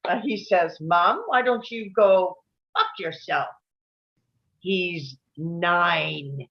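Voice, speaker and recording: A woman's voice: fairly low pitch, extremely slow, highs cut off. A woman playing a worn-out mother of boys, frank and exasperated, in a comedy table read. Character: jaded, worn-out mother of boys, party-hungry.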